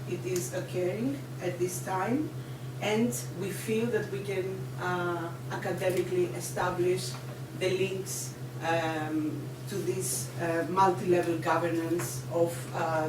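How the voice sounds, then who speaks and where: A woman giving prepared opening remarks as a project coordinator at a conference, speaking in a measured way with frequent fillers. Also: lowish voice. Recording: echoey room from far off, background noise.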